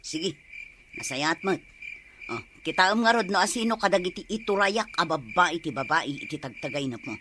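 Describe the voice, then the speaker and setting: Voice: mid-range voice, animated, normal speed, noisy background, lightly acted. An actor with an Ilocano accent playing the commanding, insistent boss of a band of muscular women in a comedy drama. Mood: bossy, calm. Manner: bossy, insistent.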